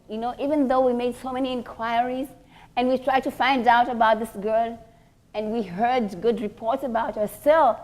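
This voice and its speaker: Woman playing a polite, measured older lady. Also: a little high, close to an everyday voice. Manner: polite, measured, emphatic repetition. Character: respectable older lady, politely grateful, pointed.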